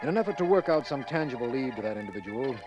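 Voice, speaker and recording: A man's voice: mid-range voice, expressive, very fast, close to an everyday voice. Man acting an earnest radio district attorney, music behind. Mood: formal report. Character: male district attorney, businesslike, earnest, matter-of-fact. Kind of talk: 1940s radio drama acting and case narration.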